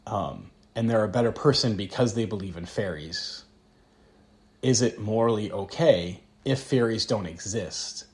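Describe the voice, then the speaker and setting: Very deep-voiced podcast co-host man. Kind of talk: casual conversation.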